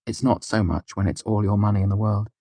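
A man's voice: fairly low pitch, fairly even intonation, quick pace, breathy edge, dry recording, voice pushed up. A man voicing a worldly, tough but caring woman in an audiobook, with an arch, matter-of-fact, exasperated manner. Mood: frank, caring. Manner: matter-of-fact, arch, scolding yet gentle. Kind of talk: audiobook character dialogue.

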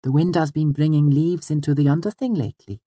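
A man voicing a whimsical, childlike young girl in an audiobook, speaking softly and daintily with earnest, precise phrasing. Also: a little high, steady tone, fairly quick, no echo, voice pushed up, close to an everyday voice. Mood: chatty. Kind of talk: audiobook character voice.

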